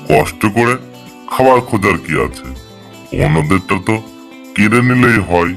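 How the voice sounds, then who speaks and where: A man's voice: fairly low pitch, lively intonation, moderate pace, gravelly, a bit bright. A man voicing a greedy, bullying fox in a children's cartoon, blustering and exclaiming. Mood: scheming. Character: greedy bullying male fox, panicky when threatened. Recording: music behind the voice.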